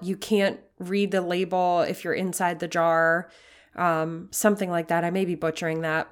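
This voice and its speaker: A woman hosting her own podcast, a little raspy from a cold, talking earnestly and encouragingly with frequent ums. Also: fairly low pitch. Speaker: podcast host. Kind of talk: casual solo podcast talk.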